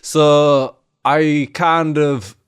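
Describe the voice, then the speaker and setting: A man's voice: on the high side, steady tone, very slow, clean tone. A man, a podcast guest telling his life story in loose, candid, casual talk. He swears freely and fills his speech with "you know" and "like". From England.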